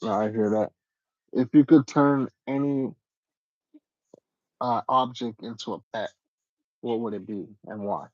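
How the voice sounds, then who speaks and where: A man's voice: mid-range voice, unhurried, pauses often, darkish tone, no echo, lo-fi sound. A man hosting a casual livestream interview, slangy and agreeable, asking questions in a laid-back way.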